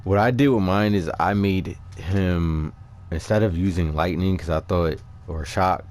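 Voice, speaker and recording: A man giving casual, unscripted gaming commentary in a halting, informal way as he walks viewers through his character setup. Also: low voice, a little mellow. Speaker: gaming video creator presenting character builds.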